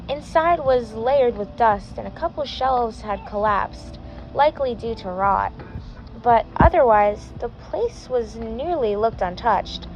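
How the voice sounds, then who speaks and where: A woman's voice: on the high side, a little mellow, slight background noise, poor audio. A woman reading a fan fiction story aloud, sometimes stumbling and correcting herself. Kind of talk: scripted story narration.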